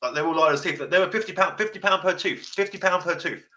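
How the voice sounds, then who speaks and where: A man hosting a podcast, giving blunt advice in casual chat with a deep voice, swearing freely and repeating himself. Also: fast. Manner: passionate, sounds like shouting. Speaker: podcast host.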